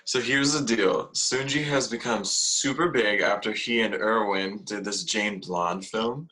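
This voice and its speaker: A man's voice: on the low side, unhurried, sharp tone, low-quality recording. A man playing a devious, theatrical young gossip confiding to camera in a comedic confessional script. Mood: gossipy.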